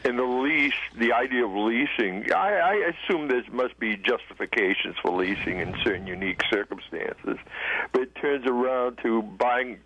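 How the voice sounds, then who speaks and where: A man's voice: mid-range voice, expressive, normal speed, lo-fi sound, a little hiss or hum. A man calling in to a radio show, sharing earnest, firm opinions. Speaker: caller. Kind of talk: call-in radio chat.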